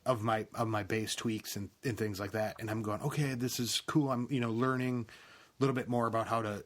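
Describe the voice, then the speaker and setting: A man's voice: mid-range voice, steady tone, brisk. A man co-hosting a gaming podcast, chatting casually and thinking aloud in a tentative way. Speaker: podcast co-host.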